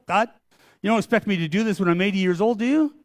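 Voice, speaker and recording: Moses as an old man of eighty, reluctant and fretful, as a preacher puts him on in a sermon, his voice pushed up high and louder as he protests and pleads. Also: fast, no echo, studio quality. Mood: pleading.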